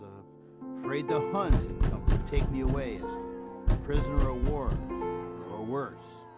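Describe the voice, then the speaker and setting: Reflective American veteran over guitar. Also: male voice, medium pitch. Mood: fond reminiscence. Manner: reflective, sentimental. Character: nostalgic American war veteran recalling his youth. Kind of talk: first-person dramatic narration. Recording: plucked guitar music behind.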